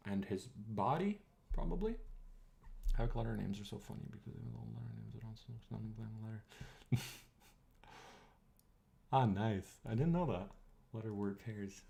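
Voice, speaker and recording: A man's voice: lowish voice, gravelly, a little mellow. A young man casually teaching old Russian letters on a livestream, thinking aloud and switching between English and Russian words.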